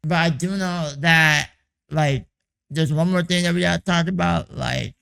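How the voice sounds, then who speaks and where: A young man giving a casual vlog check-in on his music production boot camp, with a hoarse, raspy, croaky voice and a loose, rambling way of talking full of "like" and "you know.". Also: on the high side, a little slow, bright, forceful tone.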